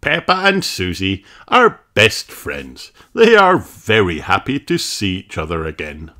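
A man's voice: low-pitched, huge pitch swings, measured pace, sharp tone. A man reading a children's storybook aloud as narrator. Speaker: storybook reader.

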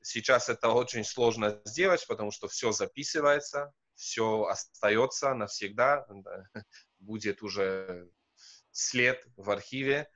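Non-native man, 30s, professor guest; low-pitched, dull call audio, hesitant delivery. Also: measured pace, fairly bright tone. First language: not Russian. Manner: hesitant, many fillers and restarts. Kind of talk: video call interview. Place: wood-panelled room indoors. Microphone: video call.

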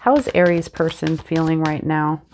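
A woman's voice: low-pitched, moderate pace, slight background noise. A woman in her thirties, a bit congested and sniffly, chatting casually through a tarot reading to camera on a lapel mic in a small room at home. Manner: chatty and animated, talks with her hands. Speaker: tarot reader.

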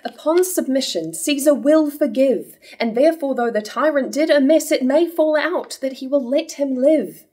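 Woman acting a thoughtful nobleman, fairly high voice. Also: normal speed, few pauses, dark tone, close to an everyday voice. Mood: measured, admiring. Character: measured, thoughtful young nobleman, cautious and devout. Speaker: actor in a staged reading. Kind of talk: early modern verse drama reading.

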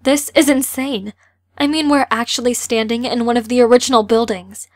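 A woman's voice: fairly high pitch, steady tone, moderate pace, close to an everyday voice. A young woman playing an outspoken, sarcastic teenage girl in an amateur audio drama. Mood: wry.

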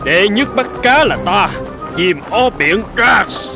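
A man's voice: high voice, expressive, slow, creaky, music in the background, heavily acted. A man voicing a boastful, greedy seabird in a cartoon, swaggering and exclaiming. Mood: gloating. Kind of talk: cartoon dubbing.

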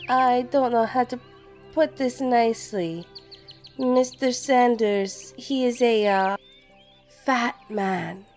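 A woman's voice: a little high, slow pace, a little mellow, faint music behind. An actress playing a worried, earnest neighbor, a busy mother, in an audio drama. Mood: worried, hesitant.

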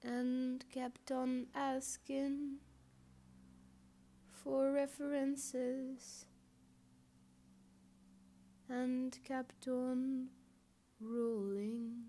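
A woman voicing a lonely, wistful, apologetic balloon in a poetic monologue, with halting, deliberate phrasing and long pauses. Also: sits high, level tone, warm tone. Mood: wistful, musing. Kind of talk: slow poetic performance monologue.

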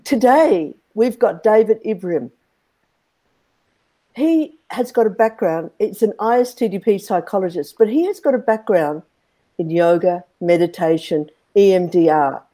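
An Australian woman in her sixties co-hosting a video interview, often pausing to search for words. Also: mid-range voice, warm tone. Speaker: co-host. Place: indoors, video call. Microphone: earbuds on a video call.

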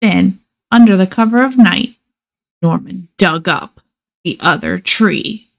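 A woman reading a children's picture book aloud expressively, with measured dramatic pauses and emphasis. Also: fairly low pitch, creaky. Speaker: storybook reader.

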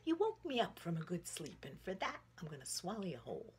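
A woman's voice: fairly low pitch, huge pitch swings, fairly quick. A woman voicing a grumpy, sleepy bear who scolds and threatens children in a storybook tale. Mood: grumpy, menacing.

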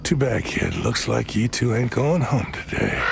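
An actor playing a menacing aging outlaw gunslinger in a Western game, with a gravelly, taunting drawl. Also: male voice, mid-range voice, heavy background noise, full character voice. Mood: dry, cool.

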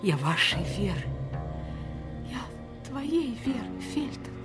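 An actress playing a seductive villainess who poses as a helpless, persecuted victim, imploring theatrically between whispers and cries in a radio drama. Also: female voice, fairly high pitch, big pitch swings, takes it slowly, music in the background. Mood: desperate pleading. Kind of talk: classic radio drama acting.

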